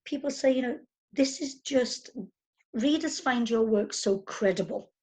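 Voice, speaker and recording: A woman with a somewhat high, breathy voice interviews an author. Her questions ramble and loop, padded with fillers and repeated words. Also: a little slow, darkish tone. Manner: rambling, with many fillers and backchannels. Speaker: interviewer host. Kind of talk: conversational interview.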